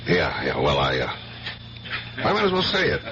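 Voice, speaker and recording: A man playing a hesitant, uneasy police detective in a 1970s radio drama, speaking haltingly. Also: rumbling low, huge pitch swings, background noise, heavily acted. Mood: uneasy, reluctant. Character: gruff, uneasy police detective, bride's brother. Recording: dull 1970s radio recording with music.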